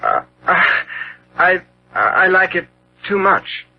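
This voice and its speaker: An actor playing an impressionable young Victorian gentleman with a hesitant, intense manner in a 1970s radio drama. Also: sits high, very animated, slow pace, creaky, exaggerated voice. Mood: distressed. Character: handsome, impressionable Victorian young gentleman, anxious about aging. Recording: dull, noisy 1970s radio recording.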